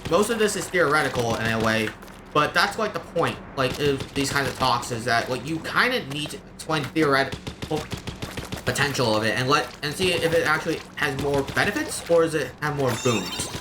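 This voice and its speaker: A man's voice: medium pitch, lively intonation, normal speed. A man giving unscripted live game commentary in a rambling, opinionated way, explaining as he goes. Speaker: game streamer. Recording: clear background noise.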